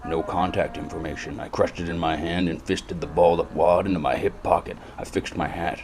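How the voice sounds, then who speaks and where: A man playing a world-weary private detective, speaking in a brooding, deadpan, sardonic noir style. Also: sits low, a little fast, background noise, close to an everyday voice. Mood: sardonic, guarded. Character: gloomy, sardonic hard-boiled male private detective. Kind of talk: hard-boiled noir detective narration and dialogue.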